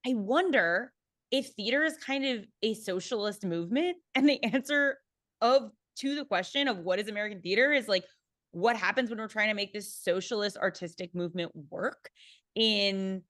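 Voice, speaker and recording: A woman's voice: medium pitch, a bit bright, no room sound, clean studio sound. A woman speaking casually as a theatre panelist, thinking aloud and searching for her words.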